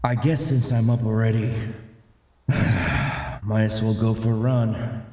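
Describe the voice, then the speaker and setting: A man's voice: fairly low pitch, flat delivery, measured pace, dark tone, slight background noise, close to an everyday voice. A man playing an easygoing, self-deprecating middle-aged father in a comic dub, with a casual, reflective way of talking. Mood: casual, wry. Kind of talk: amateur comic dub acting.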